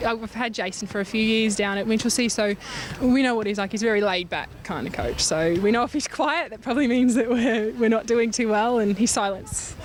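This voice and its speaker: A woman's voice: on the high side, a little fast, hardly any pauses. A young woman with an Australian accent giving a casual post-match interview as a netball player. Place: outdoors at a sports reserve. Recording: outdoor background noise.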